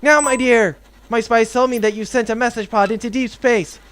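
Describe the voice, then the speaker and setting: Loud, high, petulant villain baron. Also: male voice, steady tone, normal speed. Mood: gloating. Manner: bombastic, shouty, childishly stubborn. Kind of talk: comedic sci-fi audio drama acting.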